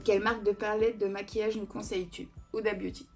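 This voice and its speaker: A woman's voice: mid-range voice, little pitch movement, quick pace, faint music behind. A woman in her thirties answering viewer questions in a casual beauty vlog, chatty and digressive with many fillers. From Italian family roots. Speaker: beauty vlogger. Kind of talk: casual Q&A chat.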